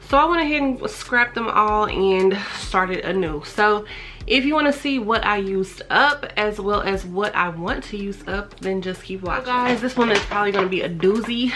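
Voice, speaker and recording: Congested, slightly raspy woman vlogger. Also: medium pitch, pushed and bright. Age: 30s. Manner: chatty, enthusiastic about scents. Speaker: beauty and fragrance vlogger. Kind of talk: casual product review to camera. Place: small bedroom, indoors. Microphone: compact camera's built-in mic at close range.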